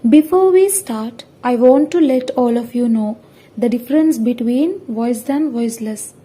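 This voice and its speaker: A young woman, a student presenter, reading out a scripted lesson in a careful, halting, word-by-word manner. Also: a little high, dark tone, breathy edge. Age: young adult.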